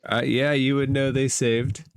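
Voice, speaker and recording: A man's voice: medium pitch, level tone, a little slow, smooth, no echo, studio quality. A man acting as game master in a tabletop role-playing game, giving rulings in a matter-of-fact way.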